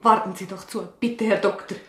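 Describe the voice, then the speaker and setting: A woman's voice: medium pitch, steady tone, moderate pace, a bit bright, echoey room, close to an everyday voice. A woman playing an anxious mother in a radio drama, imploring and stubborn. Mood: pleading, afraid. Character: anxious, pleading mother of a sick child. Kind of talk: German-language radio drama acting.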